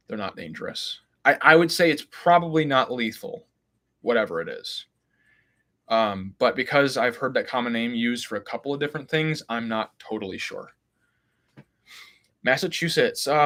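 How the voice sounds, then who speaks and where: A man's voice: on the high side, fairly bright tone. A man from Illinois with a slight Midwestern accent, hosting a live Q&A. He reads viewer questions aloud and answers off the cuff, with plenty of ums and restarts. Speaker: host. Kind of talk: live stream Q&A.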